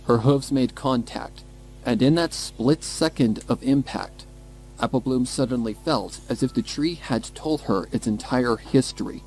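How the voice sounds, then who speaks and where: A man narrating a dramatic scripted story in a measured, suspenseful manner. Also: medium pitch, a little mellow, slight background noise, poor audio. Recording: music behind the voice. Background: music.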